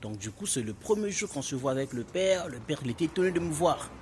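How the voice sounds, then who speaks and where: A man's voice: on the high side, big pitch swings, quick pace, a little mellow. A man with a Congolese French accent casually tells his personal story in an emphatic, repetitive way, addressing listeners as buddies. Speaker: vlogger telling his own story. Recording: clearly noisy ambient background.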